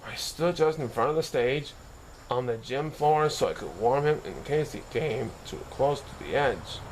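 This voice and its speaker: A man's voice: mid-range voice, lively intonation, moderate pace, slight background noise, poor audio. A man reading a story aloud expressively, occasionally stumbling over a word and correcting himself. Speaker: storybook reader. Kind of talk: scripted story narration read aloud.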